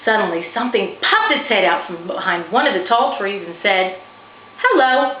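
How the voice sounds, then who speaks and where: A woman reads a children's story with expressive, varied intonation. She sounds like an author sharing her own book. The recording is roomy and a little dull, with faint noise. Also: medium pitch, fairly quick.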